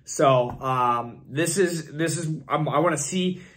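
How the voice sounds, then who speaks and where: A young man with a hoarse, fading voice hosts his channel, rambling and thinking aloud with many fillers as he talks through his picks. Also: on the high side, slow pace, fairly bright tone. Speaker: fantasy football channel host. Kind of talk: casual screen-share commentary.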